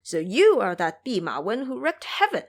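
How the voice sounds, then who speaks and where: A woman voicing a scornful male monster king in an audio drama, speaking lower than her own voice. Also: sing-song, moderate pace. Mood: sneering. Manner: sneering, scornful.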